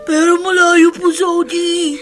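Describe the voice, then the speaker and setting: Very high, tearful young boy. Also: little pitch movement, a bit bright, soft background music, exaggerated voice. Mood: tearful pleading. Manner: whining, drawn-out pleading. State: crying. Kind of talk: radio drama acting.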